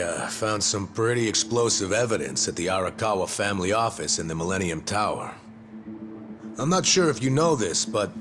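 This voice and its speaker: An actor playing a sardonic, determined older disgraced cop in a video game drama, with a folksy, taunting way of talking. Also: male voice, low voice, background noise. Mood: wry. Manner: taunting, folksy, drawn-out delivery. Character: gruff, sardonic older discharged male cop, smug and determined.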